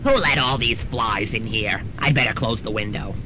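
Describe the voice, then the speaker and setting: A man's voice: normal speed, close to an everyday voice. A man playing a brassy older aunt, noisy tape. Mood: wry, unflappable. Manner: wisecracking, familiar, theatrical. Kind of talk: 1990s student radio comedy drama. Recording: rumbling, noisy college radio tape.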